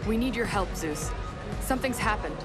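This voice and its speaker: A woman's voice: mid-range voice, animated, normal speed, lightly acted. An actress playing an earnest, determined young woman in a video game, talking with urgency. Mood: urgent. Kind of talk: video game cutscene acting. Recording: loud game music behind.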